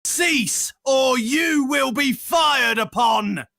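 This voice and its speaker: A woman's voice: sits high, animated, extremely slow, vocal fry, dry recording, clean studio sound, voice pushed up, full character voice. A woman voicing an angry, barking British man, a guard or mob citizen, in an audiobook drama. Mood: stern alarm. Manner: forceful, barking.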